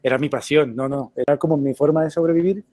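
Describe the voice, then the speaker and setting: Man in his thirties, interview guest, mellow relaxed voice, dry close sound. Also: on the high side, fast, dry recording. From Colombia. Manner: relaxed, storytelling, swears casually. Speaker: guest, web designer. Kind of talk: casual interview.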